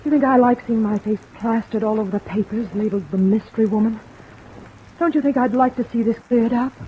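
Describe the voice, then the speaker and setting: A woman's voice: on the high side, quick pace, close to an everyday voice. An actress playing a guarded, defensive mystery woman in old-time radio noir, evasive, indignant and pleading under questioning. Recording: thin phone-like vintage radio, rain noise.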